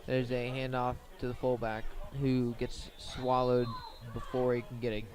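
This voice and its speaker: A man doing live radio play-by-play of a football game, describing each play step by step in a measured way with pauses. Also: medium pitch, monotone. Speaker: play-by-play sports commentator.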